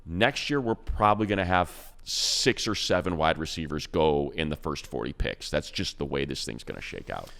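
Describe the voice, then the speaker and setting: Casting: deep, smooth male sports announcer, rambling and emphatic. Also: normal speed, hardly any pauses, fairly bright tone. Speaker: radio play-by-play announcer and co-host. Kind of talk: animated football talk.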